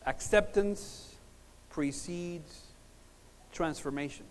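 Male preacher, middle-aged, high register, emphatic storyteller, big echoing hall PA sound. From Puerto Rico, born in Cuba. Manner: animated storytelling, emphatic pauses and repetition. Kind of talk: sermon with humorous personal stories. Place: large conference hall, on stage at a lectern. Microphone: lapel mic. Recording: reverberant hall sound system.

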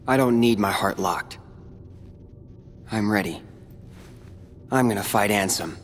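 An actor playing a calm, determined teenage boy hero in a video game, with a dry, brooding way of talking. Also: on the low side, extremely slow, pauses often, a little hiss or hum. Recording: game audio with reverb and loud music.

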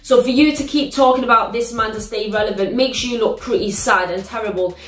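A young woman with a British accent, hosting a reaction video in a living room, telling stories in an animated, slangy, exclamatory way. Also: a little high, fairly quick. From United Kingdom. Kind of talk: casual reaction vlog. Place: living room, sitting on a sofa. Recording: roomy living-room echo.

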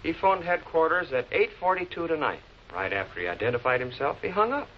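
An actor with an American accent playing a hard-boiled, wisecracking police lieutenant with a clipped, flippant manner in a 1940s crime drama. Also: male voice, a little high, lively intonation, a little fast, natural voice. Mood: matter-of-fact. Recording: dull, hissy old 16 mm film soundtrack.

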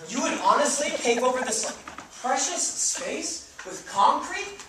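An actor playing a young, idealistic, broke farmer on stage, pleading and stammering in slangy, insistent speech. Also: male voice, very high-pitched, animated, measured pace. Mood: indignant. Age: young adult. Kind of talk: stage play acting. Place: indoor theater stage. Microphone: far camera. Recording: echoey theater stage, distant noisy camera mic.

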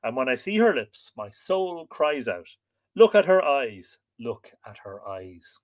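A man's voice: a little high, very animated, a little slow, pitched above the performer's own voice. A man voicing a lovesick, impatient warrior chief from a storybook, fervent and dramatic. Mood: tormented yearning.

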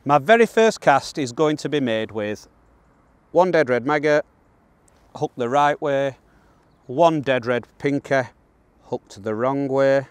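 A Northern English man presents a fishing guide from a pond bank. His voice sits at a medium pitch and is captured quite dry, with little echo. He talks in a plain, colloquial, matter-of-fact way as he explains what he is doing. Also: expressive, takes it slowly, long pauses, smooth. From northern England. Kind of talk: casual instructional commentary while fishing.

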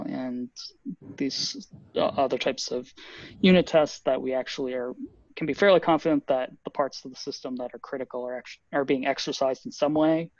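A man, a software developer, explaining technical updates at a team meeting on a video call, thoughtful and full of fillers. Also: mid-range voice, gravelly, no echo. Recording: dull lo-fi video call audio.

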